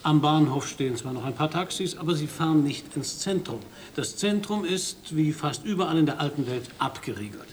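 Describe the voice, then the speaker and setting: An actor playing a dry, wry radio correspondent. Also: male voice, fairly high pitch, expressive, a little hiss or hum, close to an everyday voice. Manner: dry, observant, measured. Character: male foreign radio correspondent, wry observer. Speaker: reporter. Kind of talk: radio play narration and interview.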